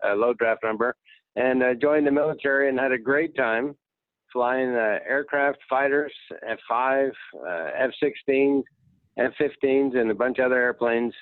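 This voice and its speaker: A man's voice: mid-range voice, normal speed, dry recording. A man in his sixties tells his story plainly and easily, heard over a thin, tinny phone line. The sound is dry, with no room around it. He talks like someone at ease recalling his career. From United States. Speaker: guest. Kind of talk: casual interview talk.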